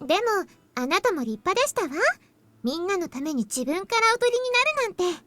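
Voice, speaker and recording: A woman's voice: very high voice, sing-song, rapid-fire, fairly bright tone, heavily acted. A woman voice acting a polite, playful young anime girl in a visual novel. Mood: amused, gracious.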